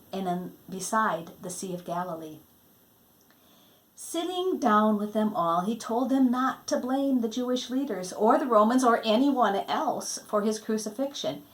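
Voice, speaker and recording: A woman reading a Christmas storybook aloud in an expressive, dramatic way, letting phrase endings fall hushed. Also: on the high side, poor audio. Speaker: storybook reader.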